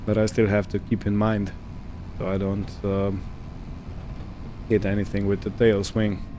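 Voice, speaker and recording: A man giving casual roleplay gameplay commentary, unhurried and thinking aloud as he goes. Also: on the low side, flat delivery, background noise. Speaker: gaming video host.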